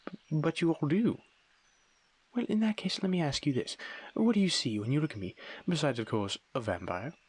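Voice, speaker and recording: A man playing a lonely, young-looking aristocratic vampire in an intimate audio roleplay, guarded yet tender, with formal old-fashioned wording and a soft breathy delivery. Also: fairly high pitch, lively intonation, moderate pace. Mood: sardonic, guarded. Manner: formal, old-fashioned wording, hesitant stammers when moved. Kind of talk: ASMR audio roleplay, intimate dramatic monologue.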